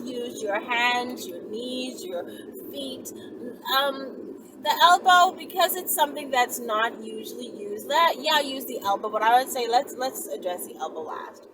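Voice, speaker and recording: A woman's voice: on the high side, a little slow. A woman giving informal, conversational instruction to listeners as a channel host, often checking in with a short tag question. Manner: conversational, often tagging sentences with "right?". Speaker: channel host giving explicit adult advice. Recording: distant mic, loud ambient vehicle-like noise.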